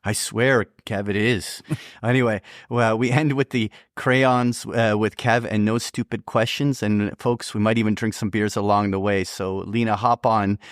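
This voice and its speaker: A man's voice: on the low side, steady tone, measured pace, no echo, studio quality. A man co-hosting a podcast, bantering and teasing in a laid-back, joking way. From Canada. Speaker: podcast co-host.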